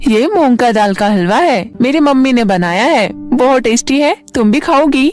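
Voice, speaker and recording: A woman's voice: high-pitched, lively intonation, normal speed, a little mellow, voice pushed up. A woman voicing an eager, polite, kind-hearted young schoolgirl in a storybook fairy tale. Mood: friendly. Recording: music bed under the voice.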